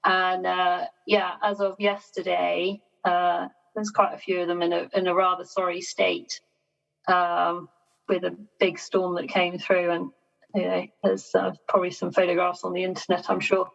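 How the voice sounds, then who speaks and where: Gravelly-edged woman, measured and chatty. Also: lowish voice, completely flat delivery. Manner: measured, self-deprecating, laughs often. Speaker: sailing guest. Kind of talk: casual podcast interview. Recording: dull lo-fi sound.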